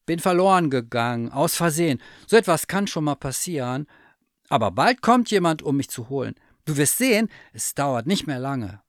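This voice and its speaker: A man's voice: very animated, moderate pace, dry recording, studio quality. A man voicing a naive, polite lost teddy bear with an eager, chirpy manner. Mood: hopeful. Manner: eager, chirpy and high-pitched.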